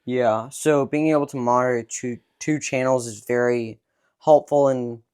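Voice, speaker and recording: A man explains a radio's controls one at a time in a relaxed, conversational way. His voice sits at an ordinary middle pitch. It sounds like a casual hands-on demo. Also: unhurried, smooth. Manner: explains step by step, points at controls. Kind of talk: casual product demonstration.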